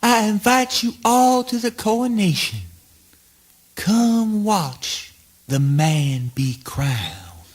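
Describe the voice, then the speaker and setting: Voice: mid-range voice, expressive, takes it slowly, slightly rough. An actor playing a brazen, deranged, boastful cannibal killer, declaiming in a spoken word play. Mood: manic.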